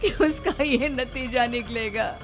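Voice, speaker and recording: A woman's voice: fairly high pitch, a little slow, soft background music, natural voice. A woman playing a guilt-ridden, desperate mother in a horror cartoon, pleading and tearful. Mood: remorseful.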